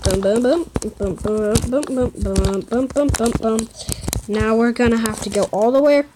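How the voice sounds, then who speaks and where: A woman giving casual tutorial commentary while she builds in a game, counting blocks aloud and saying "boom" as she places them. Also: unhurried. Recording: steady background noise. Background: running water.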